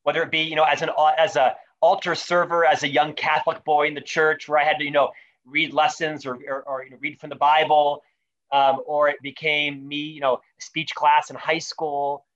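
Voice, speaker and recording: A man in his fifties, a bit high for a man, makes his points with emphatic conviction. He stacks up lists and repeats key phrases, and he enunciates each word with deliberate care. The sound is dull and lo-fi, like remote call audio. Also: flat delivery, fairly bright tone. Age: 50s. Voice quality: careful, deliberate enunciation from speech coaching. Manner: emphatic and repetitive, building lists of questions. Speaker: guest, leadership author and speaker. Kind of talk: animated interview answers.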